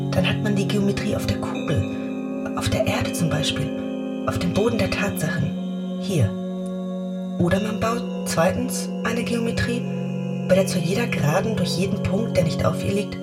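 An intense woman writer played by an actress in a radio drama, agitated and defiant. She speaks in an emphatic, halting, insistent way at an average pitch, with prominent music underneath. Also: close to an everyday voice. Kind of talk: German radio drama acting. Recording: music behind the voice.

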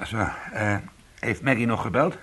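An actor playing a weary, defensive but soothing ex-convict in a radio drama, with a husky voice. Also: male voice, fairly low pitch, normal speed, fairly bright tone. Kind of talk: radio drama acting and first-person narration.

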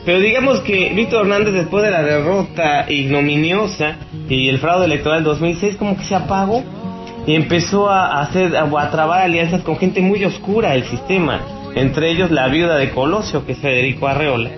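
A man with a Mexican accent giving informal, opinionated political commentary as a radio host, rambling and halting, with blunt scorn. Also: fairly high pitch, muffled recording. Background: music.

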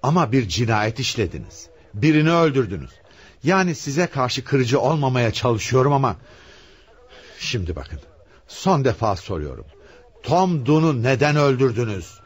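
A hard-pressed police commissioner in a radio play. He is stern and insistent, pushing and commanding, with a husky mid-range voice that rises and falls dramatically. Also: mid-range voice, big pitch swings, normal speed. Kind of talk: radio theatre acting.

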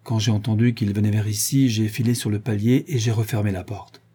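A man's voice: fairly low pitch, fairly even intonation, fairly quick, warm tone, husky. Man voicing a timid, subdued servant woman. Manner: hesitant, subdued, answers briefly.

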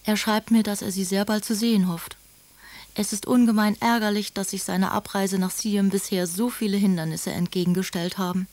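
An actress playing a gentle, devoted aristocratic sister and young mother, speaking letters politely and effusively in a German radio play. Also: medium pitch, fairly even intonation, moderate pace, dry recording, natural voice. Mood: affectionate, apologetic.

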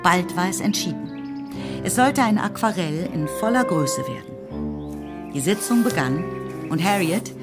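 A woman's voice: on the low side, animated, measured pace, pauses often. A woman giving scripted literary narration as the narrator of a radio drama. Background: faint music.

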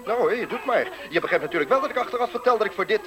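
A vain male fashion designer in a seventies radio drama. His voice is high and swoops dramatically, pompous and indignant, with music behind him. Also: animated, racing. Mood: scornful. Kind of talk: 1970s Dutch radio play acting.

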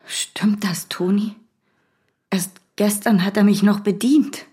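An actress playing a quiet, concerned wife and mother, speaking softly in an audio drama. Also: mid-range voice, steady tone, slow pace, husky.

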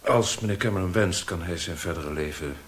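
A solemn, stately lord of a radio play, acted by a man. His voice sits fairly low and he speaks with formal courtesy, like one devoted to the past. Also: a little fast, close to an everyday voice. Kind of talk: radio drama acting.

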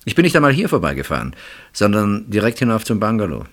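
Deep voiced ironic husband in a radio drama, measured but really swoopy intonation. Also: male voice, huge pitch swings, full character voice. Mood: controlled, measured. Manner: measured, ironic, probing, drawn-out phrasing. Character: coolly ironic, probing husband of wayward wife. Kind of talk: German radio play dialogue.

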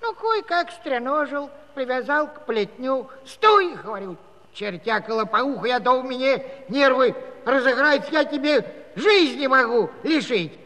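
Flustered old cossack grandpa, stammery rambler, slow and swoopy, big reverb, comedy radio play. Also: male voice, expressive, bright, forceful tone, heavily acted. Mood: aggrieved storytelling. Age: older. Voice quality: high-pitched, stammering. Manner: rambling, folksy storytelling with pauses and interjections. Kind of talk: comic musical radio-play acting. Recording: reverberant hall-like studio recording.

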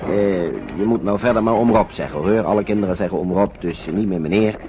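An actor playing a kindly, protective man coaxing refugee children in a halting, avuncular way, in a radio drama. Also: on the low side, lightly acted. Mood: reassuring. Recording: dull, noisy old radio recording.

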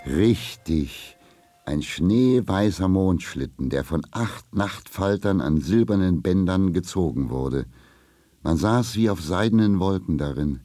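A man tells a fairy tale to children as a narrator. His voice is deep and warm, and he reads the scripted story at a slow, measured pace. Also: expressive, dry recording.

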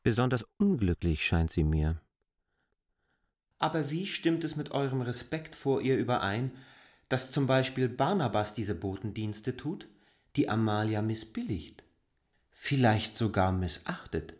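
An actor playing an earnest, brooding stranger in a radio play, speaking in a deliberate, formal, argumentative way. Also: male voice, mid-range voice, expressive. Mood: troubled. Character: earnest adult male stranger, insistent and brooding. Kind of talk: literary radio play dialogue.

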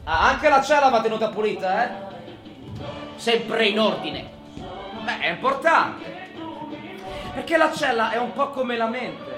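Volatile, obsessive prison inmate. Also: male voice, very high-pitched, very animated, a bit bright, soft background music, full character voice. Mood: emphatic, rigid. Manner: swings from muttered confiding to barked, drawn-out announcements. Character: long-serving male prison inmate, obsessive about order, solitary, sardonic. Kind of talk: theatrical monologue, colloquial and profane.

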